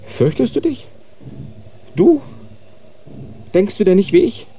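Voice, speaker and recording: An anguished young monk. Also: male voice, high-pitched, very animated, takes it slowly, husky, heavily acted. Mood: challenging. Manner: impassioned, pleading, swings from quiet to outcry. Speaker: radio drama actor. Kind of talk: radio drama acting.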